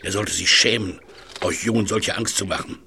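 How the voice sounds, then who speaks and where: A man's voice: lowish voice, moderate pace, gravelly, bright, forceful tone, slight background noise, exaggerated voice. An actor playing a stern, dismissive grown man in a children's audio drama. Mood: scolding.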